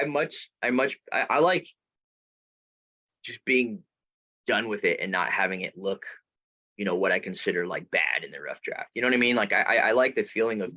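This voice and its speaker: Male guest author from Florida, deep voice, dull call audio, rambly and casual. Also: sharp tone, no echo. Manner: rambling, self-interrupting, swears casually. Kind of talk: casual video-call interview. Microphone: video call.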